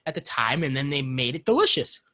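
A man's voice: medium pitch, very animated, a little fast, pushed and bright. A young American man chatting casually, swearing freely and ranting. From United States. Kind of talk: casual chat.

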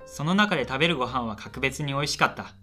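A man's voice: medium pitch, lively intonation, fast talker, pushed and bright, soft background music. A man playing a shy, lonely university student in a radio drama, earnest and chatty in both dialogue and narration.

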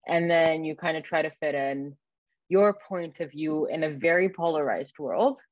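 A woman's voice: sits low, moderate pace, no room sound, dull audio. A woman in her twenties from Egypt, answering interview questions as a researcher in an informal, reflective, conversational way. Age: 20s. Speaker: guest, early-career academic researcher.

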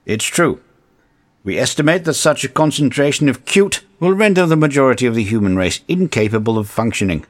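A man's voice: mid-range voice, animated, a little fast, close to an everyday voice. A man playing an earnest, officious and exasperated agency chief in a comedic audio drama. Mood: grave, official. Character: exasperated, earnest male agency chief briefing misfit heroes.

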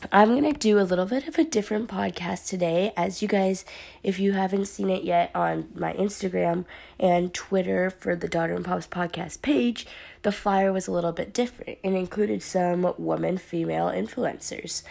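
Young woman, podcast host, casual and upbeat, hoarse from a sore throat, gravelly fry. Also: on the low side, moderate pace, a little mellow. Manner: chatty, upbeat, filler-heavy. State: sore throat, slightly raspy voice. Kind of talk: casual solo podcast talk.

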